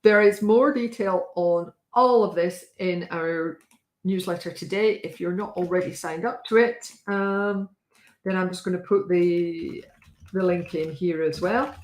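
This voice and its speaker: A woman hosting a casual talk with a wry, chatty manner and a slightly hoarse voice, often pausing with fillers. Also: fairly low pitch, roomy sound. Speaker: host. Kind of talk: casual live-stream talk.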